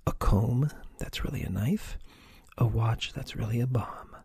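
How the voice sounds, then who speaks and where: A man reading an adventure gamebook aloud in a soft, breathy ASMR whisper, stopping to choose pages. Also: medium pitch, a little slow, a little hiss or hum. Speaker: reader of a choose-your-own-adventure book.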